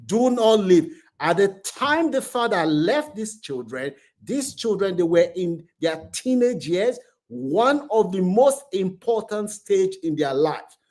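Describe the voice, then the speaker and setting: A man's voice: sits high, very animated, slow pace, darkish tone. A man hosting an online channel, telling a story in an emphatic way and repeating phrases for effect. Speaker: YouTube channel host. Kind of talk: storytelling commentary to camera.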